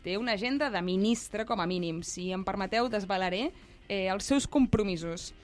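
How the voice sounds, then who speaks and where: A woman's voice: mid-range voice, moderate pace. A woman with a Catalan accent co-hosting a talk show, moving between prepared introductions and casual banter. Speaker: co-host.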